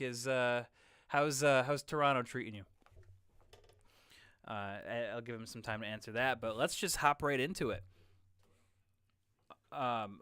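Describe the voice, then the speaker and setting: A young man hosting a casual podcast, easygoing and quick to laugh. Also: medium pitch, sharp tone, clear, resonant tone, no echo. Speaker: podcast host.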